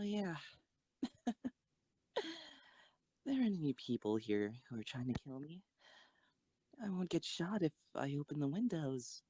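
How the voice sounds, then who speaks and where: Woman acting an animated, excitable schoolgirl. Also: extremely low pitch, no echo. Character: earnest, excitable teenage schoolgirl. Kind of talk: amateur anime-style audio drama acting.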